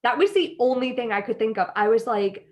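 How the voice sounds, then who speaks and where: Very animated American woman, casual podcast talk. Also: on the high side, brisk. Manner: informal, expressive, quotes her own reactions. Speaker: podcast co-host. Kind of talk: casual chat recapping a reality TV show.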